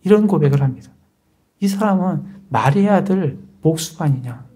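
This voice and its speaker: Mellow-voiced pastor preaching. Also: male voice, a little high, lively intonation, normal speed, slightly rough. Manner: conversational preaching with rhetorical questions. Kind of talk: sermon.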